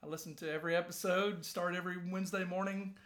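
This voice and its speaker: A man's voice: high-pitched, little pitch movement, fast talker, a bit bright, a little hiss or hum. A man who is a guest on a podcast, chatting casually in an earnest, friendly way with lots of "you know"s, on a microphone shared with the host. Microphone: sharing one microphone with the host.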